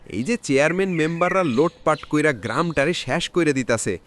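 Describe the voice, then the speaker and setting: An actor playing a simple, agreeable older village uncle in a cartoon, folksy and worldly-wise, with a rural Bangladeshi Bengali accent. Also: male voice, fairly high pitch, a little fast, fairly bright tone, natural voice. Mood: resigned, agreeable. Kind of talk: cartoon village drama dialogue. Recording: faint background noise with clicks.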